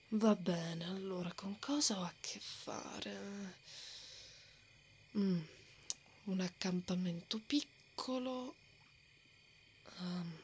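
A woman playing a brave, hot-tempered, bossy female knight in an ASMR roleplay, often breathy and muttering threats to herself. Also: mid-range voice, fairly bright tone, a little hiss or hum. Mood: cautious, whispering. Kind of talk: ASMR roleplay, acted monologue. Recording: noticeable outdoor ambience effects behind.

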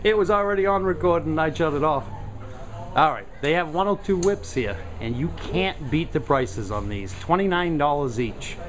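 A man's voice: a little high, lively intonation, normal speed. A man giving a casual, admiring handheld video tour as he talks from behind the camera inside a shop. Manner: enthusiastic, admiring exclamations. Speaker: vlogger touring a radio shop. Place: indoors in a cluttered radio shop. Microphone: handheld camera mic, behind the camera. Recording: busy shop background noise.

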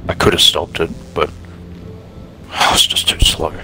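A man's voice: measured pace, pushed and bright, soft background music, rough recording. A man playing a bitter, grieving apocalypse survivor, blunt and downcast, in an improvised game roleplay. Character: bitter, grieving young male apocalypse survivor seeking his brother.

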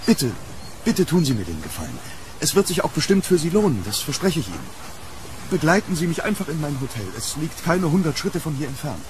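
An actor playing a troubled, courteous gentleman archaeologist haunted by his past, speaking formally and broodingly in an audio drama. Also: on the high side, very animated, fairly quick, background noise. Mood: urgent pleading. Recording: rainy street ambience, thunder.

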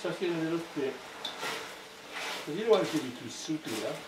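A man in his home kitchen demonstrating a stir-fry, explaining each step in an easygoing way with small fillers, picked up by a camera a few feet away. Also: fairly high pitch, a little fast, heavy background noise. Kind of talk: casual cooking demonstration. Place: home kitchen at the stove, indoors. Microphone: camera held by someone else a few feet away. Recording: roomy kitchen, loud frying behind.

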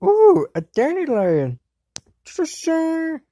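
A woman performs a spirited anime girl in exclamatory, theatrical cartoon lines. Her pitch swings widely and the recording is close and dry. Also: huge pitch swings, very slow, warm tone, dry recording. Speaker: amateur voice actor for an anime fandub. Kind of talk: acted cartoon dub clips.

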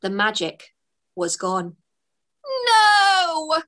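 A woman's voice: mid-range voice, measured pace. A woman, an author reading her children's book aloud expressively, over a webcam from a home room. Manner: expressive, storytelling. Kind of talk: children's read-aloud narration. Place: home room with bookshelves, indoors.

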